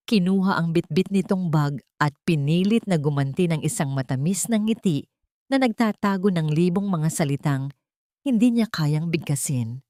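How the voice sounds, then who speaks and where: A woman's voice: on the low side, a little mellow, no room sound, studio quality. A woman storyteller reading a scripted dramatic narration in a solemn, emotive, literary manner.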